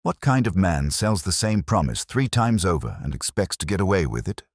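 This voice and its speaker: A man's voice: deep voice, big pitch swings, normal speed, pitched above the performer's own voice, exaggerated voice. A man doing a puzzled, questioning sergeant.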